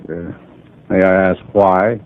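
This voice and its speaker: A man's voice: low voice, little pitch movement, slow. An actor playing a stiff, indignant, older businessman in a 1950s radio drama. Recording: tinny old radio broadcast.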